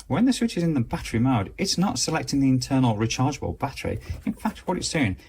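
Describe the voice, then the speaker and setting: Gravelly man reviewing a product. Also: medium pitch, big pitch swings, quick pace, darkish tone. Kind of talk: casual product review and demonstration.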